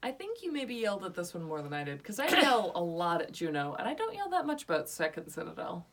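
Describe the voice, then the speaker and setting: A woman's voice: on the low side, huge pitch swings. Young female podcast co-host, playful, slightly distant sound. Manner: playful, teasing, quick to laugh. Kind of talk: casual podcast review chat.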